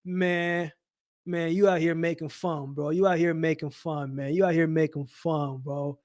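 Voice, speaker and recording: Man, high voice, dry sound, slangy, repetitive for emphasis, casual livestream sports commentary. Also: steady tone, unhurried, darkish tone, no echo. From Jamaica-born, raised in Canada. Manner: slangy, repeats phrases for emphasis. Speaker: livestream host. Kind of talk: casual live chat with viewers, boxing commentary.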